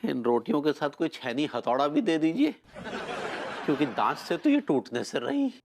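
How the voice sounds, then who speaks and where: A man's voice: fairly high pitch, big pitch swings, normal speed, creaky, a little mellow, slight background noise. An actor playing a haughty, wheedling man who begs for alms in a broad Hindi sitcom.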